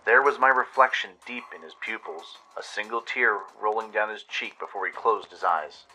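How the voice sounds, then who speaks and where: Deep, very bright-voiced male story narrator. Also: normal speed, poor audio. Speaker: narrator and radio show host. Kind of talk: dramatic scripted audio story narration.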